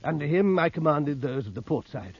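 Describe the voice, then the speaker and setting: A man's voice: on the high side, lively intonation, fairly quick, creaky, slightly rough, full character voice. An actor playing a British naval officer who narrates his memories in grave, literary 1950s radio drama style. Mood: grave, vivid. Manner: literary, grave, precise storytelling. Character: male naval officer recalling battle as narrator. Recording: muffled vintage radio recording.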